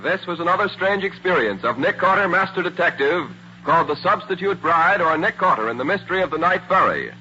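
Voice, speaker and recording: A man's voice: sits high, lively intonation, brisk, sharp tone. A man announcing a 1940s radio programme in a formal, declamatory style. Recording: muffled, hissy old radio recording.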